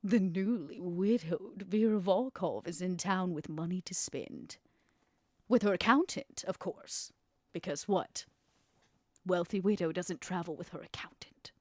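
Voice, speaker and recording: Poised, scheming vampire woman played by a woman, low-pitched. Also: mellow, no room sound, clean studio sound. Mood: scheming, smug. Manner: deliberate, dramatic pauses, stressed key words. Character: scheming, imperious female vampire crime partner, poised and ruthless. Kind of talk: in-character tabletop roleplay acting.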